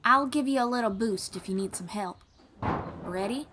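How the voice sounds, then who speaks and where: A woman's voice: a little high, normal speed, noisy background, natural voice. A woman playing a kind, patient young bard in an audio roleplay, teaching the listener in an encouraging, folksy way. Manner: encouraging, gently instructive, folksy.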